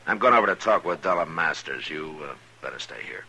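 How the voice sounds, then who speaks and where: Deep, forceful Western marshal. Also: male voice, animated, husky, heavily acted. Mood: sternly reasoning. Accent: American. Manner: measured, authoritative, laconic. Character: steady, firm frontier town marshal. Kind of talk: 1950s western radio drama acting. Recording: noisy vintage radio recording.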